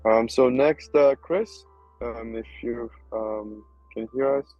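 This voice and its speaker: A man's voice: medium pitch, fairly even intonation, slow pace, lo-fi sound, faint music behind. A man from South Africa hosting an online startup meetup, upbeat and fond of saying how cool things are. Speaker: meetup host.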